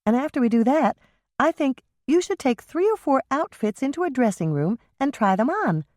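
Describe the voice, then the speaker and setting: A woman voicing an eager, high younger sister. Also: sing-song, normal speed, a little mellow, no echo, clean studio sound.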